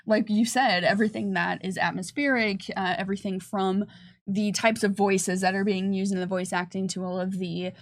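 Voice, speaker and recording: A woman with a mid-range voice and plenty of vocal fry chats casually as she presents a livestream. She is upbeat and talkative, fielding questions like a game developer. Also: medium pitch, fairly even intonation, normal speed.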